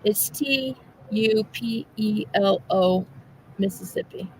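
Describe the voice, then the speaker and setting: A woman's voice: mid-range voice, slow pace, a little hiss or hum, rough recording. A woman raised in Kansas hosting a livestream, chatting casually and stumbling over words as she reads out facts. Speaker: livestream host. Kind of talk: casual chat.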